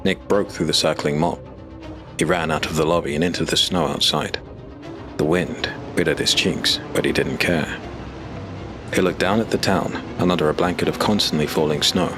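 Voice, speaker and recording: A man narrating a dark fantasy audio drama in a measured, steady storytelling voice. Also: rumbling low. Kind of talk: scripted narration. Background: music.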